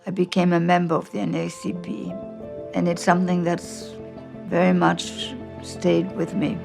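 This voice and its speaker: A woman's voice: lowish voice, monotone, creaky. An older woman from Austria recounting her memories in a reflective documentary interview, with faint music behind her. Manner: slow, reflective storytelling.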